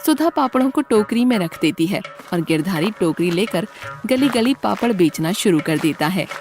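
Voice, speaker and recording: A woman giving scripted narration as the storyteller of an animated tale. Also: mid-range voice, moderate pace, faint music behind.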